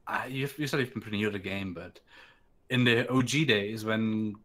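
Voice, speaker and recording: A man co-casting a live video game match, giving calm analysis of picks and strategy. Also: fairly low pitch, normal speed. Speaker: esports co-caster.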